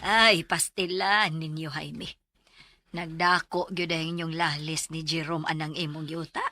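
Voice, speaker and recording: An actress with a Cebuano Filipino accent playing a concerned aunt passing on grim news in a radio drama. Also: female voice, low voice, steady tone, measured pace, sharp tone, a little hiss or hum, heavily acted. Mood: worried. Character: concerned aunt relaying grim threats to her nephew.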